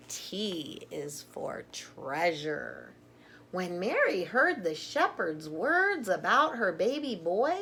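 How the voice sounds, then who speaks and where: A woman's voice: fairly low pitch, creaky, a bit bright, a little hiss or hum. A woman storyteller reading a children's story aloud with simple, emphatic phrasing and informal asides to young listeners.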